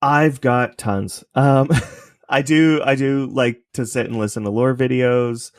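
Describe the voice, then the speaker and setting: A man's voice: mid-range voice, measured pace, dry recording, clean studio sound. A man, speaking as a guest in a casual interview, rambling thoughtfully. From Canada. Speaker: interview guest, podcast creator. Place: home basement apartment, at a computer desk.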